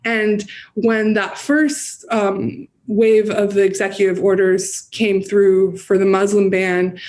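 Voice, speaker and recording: A woman's voice: mid-range voice, darkish tone. A woman with an American accent giving earnest, reflective answers as an interview guest. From United States. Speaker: guest, campaign director and community organizer.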